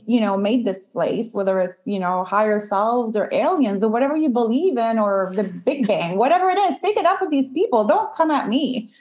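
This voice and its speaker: High-voiced chatty woman, native French speaker. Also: lo-fi sound. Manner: chatty, opinionated, many fillers and laughs. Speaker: guest, dating content creator. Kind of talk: casual podcast conversation.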